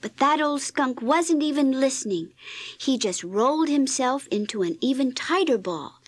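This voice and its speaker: A woman reading a scripted children's read-along story in an expressive, deliberate storytelling manner. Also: sits high, a little hiss or hum. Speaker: storybook narrator.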